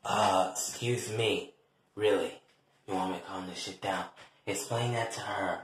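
A man voicing a cool, contemptuous woman who talks softly and measuredly in a comedy skit. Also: fairly even intonation. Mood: disdainful. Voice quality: soft, hushed, low. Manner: measured, icy, disdainful. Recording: very echoey, reverberant room.

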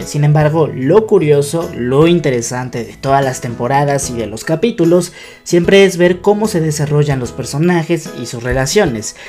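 A man's voice: fairly high pitch, darkish tone. A young adult man giving fan commentary as a YouTuber, talking directly to his viewers. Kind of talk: scripted-feeling fan commentary to camera. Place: small bedroom, indoors. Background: background music.

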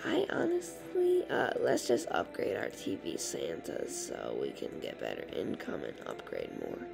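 A woman with a raspy, hoarse voice giving rambling, casual commentary while playing a game. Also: extremely low pitch, wildly swooping pitch, measured pace, over music. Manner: rambling, chatty, full of fillers. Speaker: gaming channel host.

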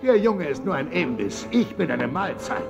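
Wry old turtle guardian for a kids audio play, gravelly, really animated. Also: male voice, fairly high pitch, normal speed. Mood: defiant. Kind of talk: children's audio drama acting. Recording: loud music over cassette audio drama.

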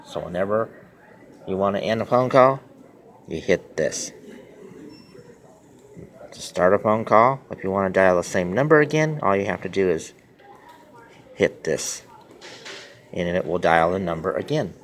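Slow-talking low-voiced man giving a tutorial. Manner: plain, halting short phrases, repetitive. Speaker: tutorial presenter. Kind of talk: simple step-by-step phone tutorial. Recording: noisy handheld phone recording with clicks.

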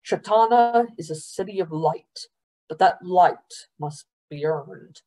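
Woman playing a smooth, grave, commanding governor. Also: lively intonation, dark tone. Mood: solemn. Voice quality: deep, smooth. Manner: measured, pompous.

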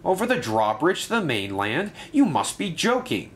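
A man's voice: a little high, huge pitch swings, normal speed, a bit bright, voice pushed up. A man voicing a nervous male runaway diesel engine that stammers and pleads. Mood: scolding.

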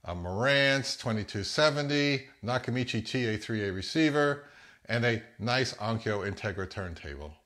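A man's voice: mid-range voice, a bit bright. A man in his fifties with an American accent, talking casually as the host of a vlog. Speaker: host of a hi-fi audio channel.

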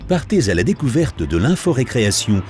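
A man's voice: medium pitch, big pitch swings, a little fast, darkish tone. A man reading a scripted promotional presentation as a narrator. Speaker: voice-over narrator. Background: music.

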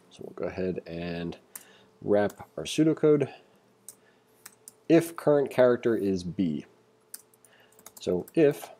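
A man's voice: fairly low pitch, dark tone, slightly rough. A man giving a casual coding tutorial, informally thinking aloud as he reads and explains. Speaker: programming instructor.

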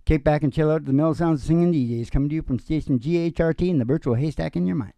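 A middle-aged man hosting a music show, chatting casually and telling rambling, animated stories. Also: fairly high pitch, racing, gravelly, no room sound. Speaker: singer and music show host. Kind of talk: casual chat and storytelling between songs. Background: acoustic guitar.

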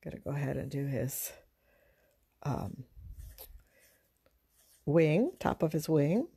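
A woman art teacher with a fairly low, soft-edged mellow voice talks through a drawing demonstration. She sounds informal and unhurried, pausing to think aloud, with some creak in her speech. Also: animated. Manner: halting, unhurried, conversational. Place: indoors at a drawing table.

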